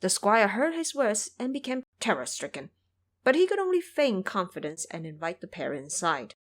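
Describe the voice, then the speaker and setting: A woman narrates an audio drama as a storyteller. Her scripted delivery is animated, with pitch that moves widely. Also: normal speed.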